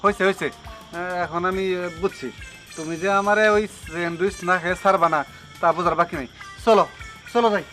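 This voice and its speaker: Harried married villager, played by a man, Bangladeshi accent, slightly high register, rapid and appeasing. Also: steady tone, pushed and bright. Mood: resigned. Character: nervous married village man giving in to his lover. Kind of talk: Bengali web comedy drama acting. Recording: clear music under the voice.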